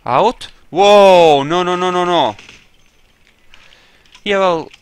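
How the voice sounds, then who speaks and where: A man's voice: sits high, drawn-out words, clean tone, no echo. Casual man commentating a game, dry close sound. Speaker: gamer doing a roleplay playthrough.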